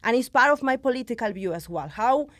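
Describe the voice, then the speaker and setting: A woman's voice: on the high side, animated, fast, fairly bright tone, dry recording. Passionate woman guest, dry sound. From Argentina. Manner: passionate, switches into Spanish. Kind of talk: podcast conversation.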